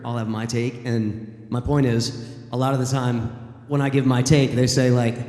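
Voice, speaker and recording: A man, a voice actor on a convention panel, telling a long studio anecdote in a relaxed, rambling way. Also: medium pitch. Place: large convention hall. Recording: echoey hall with long reverb.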